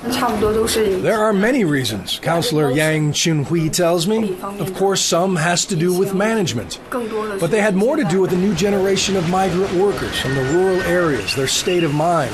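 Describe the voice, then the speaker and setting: A man reading scripted news narration as a television reporter. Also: high voice.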